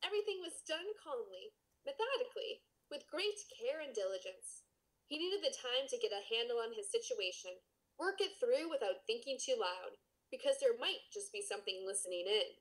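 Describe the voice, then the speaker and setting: Lively, high-voiced woman podcaster. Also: normal speed, low-quality recording. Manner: playful, teasing. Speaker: podcast co-host. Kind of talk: casual banter and humorous read-aloud.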